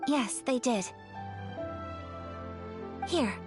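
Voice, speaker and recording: A woman voicing a gentle, modest young noblewoman in a video game, speaking in polite, formal, earnest phrases. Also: a little high, animated, extremely slow. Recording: music behind the voice.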